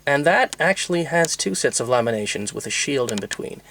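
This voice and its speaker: Male demo presenter, medium pitch, unscripted and methodical technical explainer with hesitant, halting delivery. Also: animated, gravelly, a bit bright, slight background noise. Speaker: presenter demonstrating vintage tape recorders.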